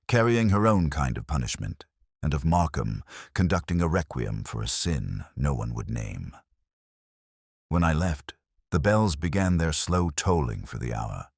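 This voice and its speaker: A man giving scripted first-person detective narration in a measured, reflective, solemn manner. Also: very deep voice, slightly rough, no echo, clean studio sound.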